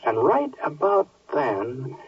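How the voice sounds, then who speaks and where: An actor playing a folksy American cowboy narrating a tale haltingly, in 1950s radio style. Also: male voice, mid-range voice, huge pitch swings, a little hiss or hum. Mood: gentle storytelling. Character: folksy, hesitant cowboy telling a tale to a child. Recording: muffled distant 1950s radio recording.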